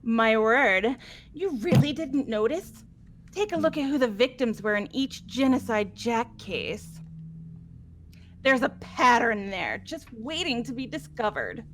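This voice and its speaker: A high-pitched, wildly swooping young murderess from a video game. She is manic and flamboyant, boasting gleefully and taunting with theatrical flair. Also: sing-song, unhurried, a little hiss or hum. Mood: boastful, taunting. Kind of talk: amateur game voice acting.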